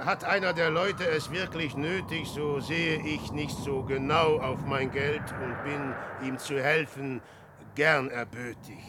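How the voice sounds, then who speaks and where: An actor playing a boastful, self-centred, anguished wanderer in a theatrical, declamatory 1960s radio drama style. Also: male voice, fairly high pitch, steady tone, a little slow, lightly acted. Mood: brooding, self-important. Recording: vintage radio recording, faint noise.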